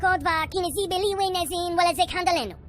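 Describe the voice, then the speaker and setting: A woman's voice: very high-pitched, fast talker, a bit bright, soft background music. A woman voicing a small alien creature that chatters away in gibberish for a video game. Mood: agitated. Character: small, jabbering, high-voiced alien creature.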